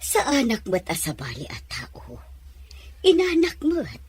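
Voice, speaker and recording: A woman's voice: on the high side, wildly swooping pitch, unhurried, darkish tone, slight background noise. A woman acting a middle-aged Ilocano mother, worried and meddling, in an audio drama. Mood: worried, scolding. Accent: Filipino, Ilocano.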